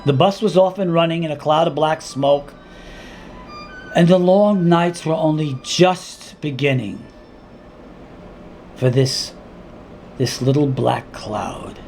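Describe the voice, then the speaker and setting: A man reading his own dramatic monologue in a deliberate, intense spoken-word style, with weighty pauses and repeated words. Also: a little high, gravelly, a little mellow, soft background music.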